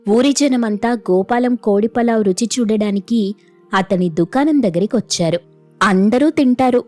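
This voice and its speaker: Female storyteller over soft music. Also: a little high, moderate pace. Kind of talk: scripted moral story narration.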